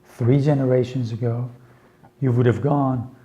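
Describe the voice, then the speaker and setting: A man whose first language is Farsi, giving a slow, earnest lecture for English learners with many pauses and fillers. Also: mid-range voice, fairly even intonation, mellow, lo-fi sound. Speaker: teacher.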